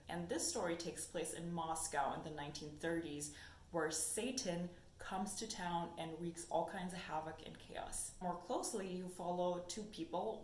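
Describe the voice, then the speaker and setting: A young woman whose first language is German, chatting casually about books in a haul video and thinking aloud as she goes. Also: fairly low pitch. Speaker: book vlogger. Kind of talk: casual book haul chat. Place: sunny room indoors. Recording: echoey room with faint noise.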